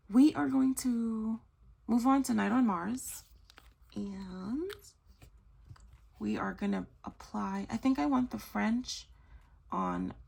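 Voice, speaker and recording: A woman hosting a nail art tutorial, chatty and gushing, slightly hoarse as she loses her voice. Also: mid-range voice, a little mellow. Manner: chatty, gushing, self-correcting. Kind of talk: casual tutorial chat.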